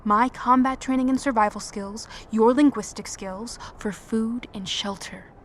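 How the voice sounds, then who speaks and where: A woman's voice: fairly high pitch, measured pace, fairly bright tone, a little hiss or hum. An actress playing a tough, resolute woman survivor in an audio drama, terse, firm and practical. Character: tough, resolute combat-trained woman survivor leading companions. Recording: faint background ambience.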